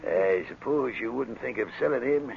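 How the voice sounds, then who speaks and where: An actor playing a sly, folksy frontier crook with rough grammar in a 1940s radio drama. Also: male voice, mid-range voice, moderate pace. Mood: nosy, offhand. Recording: muffled, noisy 1940s radio recording.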